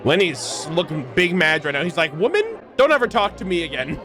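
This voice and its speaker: A woman streamer giving casual, excited gameplay reactions in a slangy, teasing, expressive way. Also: fairly low pitch, brisk, a crowd in the background. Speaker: gaming streamer.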